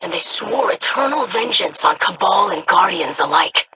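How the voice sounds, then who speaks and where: An actress voicing a studious female AI in a video game, speaking in measured, theorizing phrases. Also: medium pitch, animated, sharp tone, a little hiss or hum. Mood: curious.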